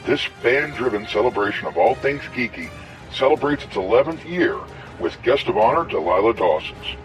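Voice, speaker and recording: A man's voice: mid-range voice, rough recording. A man reading a scripted event advertisement as an announcer. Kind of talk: scripted promo read. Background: music.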